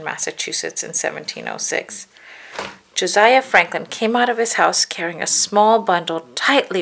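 A woman reads aloud to children from a storybook. Her voice sits a little low with a creaky edge, and she phrases each line in a measured, deliberate way. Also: fairly bright tone. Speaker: children's read-aloud narrator. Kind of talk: storybook narration.

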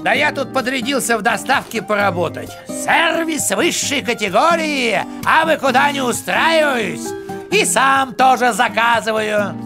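A man's voice: very high-pitched, lively intonation, a little slow, over music. A man voicing a fussy, folksy, self-important older village postman in a cartoon. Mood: boastful. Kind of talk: animated series voice acting.

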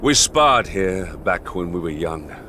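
An actor playing a stern, remorseful knight general in a video game, speaking formally and gravely. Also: male voice, deep voice, very animated, slow pace, sharp tone, slight background noise, heavily acted. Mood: solemn resolve. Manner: formal, solemn, grave delivery. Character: stern, remorseful male knight general, mature, noble and brooding. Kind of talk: video game cutscene acting.

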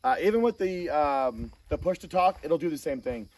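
A man hosting a casual hands-on gear review, talking in a halting way with self-corrections. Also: fairly high pitch, lively intonation, darkish tone. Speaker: host of a gear review channel. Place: outdoor shooting range. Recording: distant mic, outdoor noise, insect chirps.

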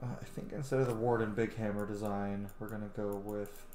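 A man's voice: on the low side, level tone, fairly quick, darkish tone. A man in his thirties thinking aloud in casual commentary while he plays a video game. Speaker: gaming streamer. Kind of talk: casual let's-play commentary. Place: indoor room at home. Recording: roomy sound with faint background noise.

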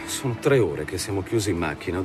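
A man's voice: sits low, animated, fairly quick, music in the background. An actor playing an alert, impatient male police agent in a radio drama.

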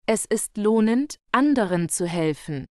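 A woman slowly reading German example sentences for learners, carefully enunciated and repeated. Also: medium pitch, animated, clear, resonant tone, no echo, studio quality. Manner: carefully enunciated, each phrase read twice. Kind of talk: slow, clear model sentences for learners.

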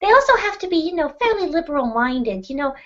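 A woman's voice: sits high, sing-song, quick pace, a bit bright, voice pushed up. A woman putting on a sweet, overly polite young woman's voice for a comedy bit. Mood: sugary sweet. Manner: sugary, polite, girlish put-on voice. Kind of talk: comedic character interview. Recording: dull lo-fi sound.

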